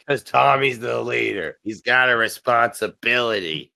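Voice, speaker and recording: A man's voice: medium pitch, animated, measured pace, vocal fry, bright, forceful tone, dry recording. A man joking around irreverently in a casual film commentary. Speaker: commentary track participant.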